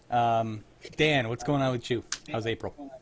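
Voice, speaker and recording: A man hosting a casual livestream chat in a wry, teasing way, with some mild swearing. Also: mid-range voice. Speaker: host of a livestream.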